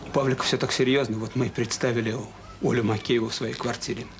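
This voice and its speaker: A man's voice: mid-range voice, flat delivery, quick pace, slight background noise, natural voice. An actor playing a troubled, remorseful middle-aged father in a radio drama, speaking hesitantly and earnestly. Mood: bitter regret. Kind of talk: Soviet radio drama acting.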